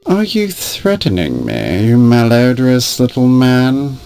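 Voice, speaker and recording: A man's voice: medium pitch, sing-song, measured pace, gravelly, a little mellow, clean tone. An actor voicing an arrogant, bullying man in a video game, haughty and insulting in a theatrical way. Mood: blustering anger. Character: arrogant, blustering, bullying male museum exhibitor. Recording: music under the voice. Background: synthesized game music.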